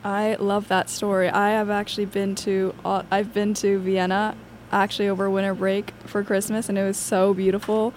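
A woman's voice: mid-range voice, flat delivery, moderate pace, slight background noise. A young woman from Los Angeles, a student radio co-host chatting in a bubbly, enthusiastic way. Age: young adult. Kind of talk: casual chat.

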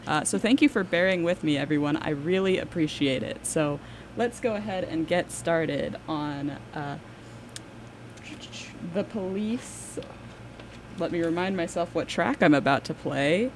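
A woman's voice: on the low side, a little slow, slight background noise. A young woman hosting student radio from the board, playful and self-mocking. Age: young adult.

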